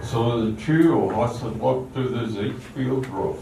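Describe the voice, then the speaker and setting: Guy narrating a radio play, gravelly and slow, super echoey. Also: mid-range voice. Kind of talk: scripted radio drama narration. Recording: distant, very echoey, noisy hall.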